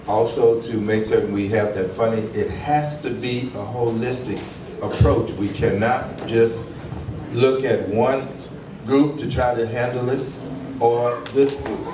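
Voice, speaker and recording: A man's voice: medium pitch, noisy background. A man from a nonprofit answering questions at a town hall meeting in an emphatic, earnest way. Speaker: nonprofit representative. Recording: echoey room from far off.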